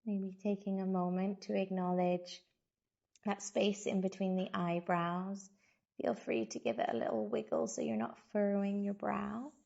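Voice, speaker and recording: A woman leads a guided meditation very slowly, leaving space between short, gentle phrases. Her voice is soft-edged and mellow, with a noticeable creak, and she soothes as she instructs. The recording sounds a little dull. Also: mid-range voice, little pitch movement. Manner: soothing, unhurried, gentle instruction with long pauses. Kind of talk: guided body scan meditation.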